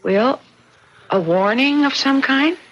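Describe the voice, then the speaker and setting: An actress playing an earnest, uneasy housewife in an old-time radio mystery, dramatic and questioning. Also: female voice, high-pitched, lively intonation, takes it slowly. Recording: dull, band-limited radio drama recording.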